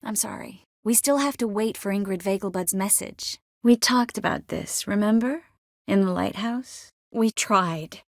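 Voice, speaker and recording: An actress playing an earnest, caring young sorceress in a video game, expressive and by turns determined and wistful. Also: female voice, medium pitch, unhurried, creaky, no echo, studio quality. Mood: earnest, regretful. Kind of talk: video game character dialogue.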